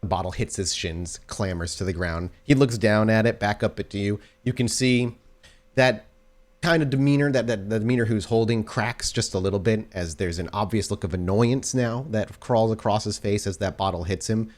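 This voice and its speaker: Guy running a tabletop game, kinda low voice, describing scenes like a movie as he goes. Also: moderate pace. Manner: descriptive, cinematic storytelling. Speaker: game master. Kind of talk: improvised tabletop game narration.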